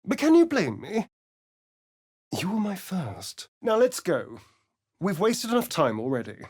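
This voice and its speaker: A man's voice: high-pitched, wildly swooping pitch, unhurried, darkish tone, husky, heavily acted. An actor playing a centuries-old male vampire elf, arch and theatrical in video game lines. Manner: theatrical, arch, relishing words.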